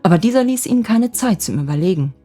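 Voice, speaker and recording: A woman with a gentle voice narrating a scripted bedtime fairy tale as a storyteller. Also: medium pitch, animated, fast. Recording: music bed under the voice.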